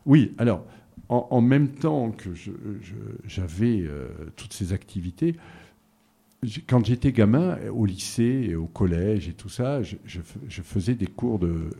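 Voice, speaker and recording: A middle-aged man from southern France answers interview questions in a very low, dark and mellow voice. He speaks hesitantly, pausing on fillers and restarting his sentences as he recalls his past. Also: animated, husky. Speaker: interview guest. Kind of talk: relaxed conversational interview.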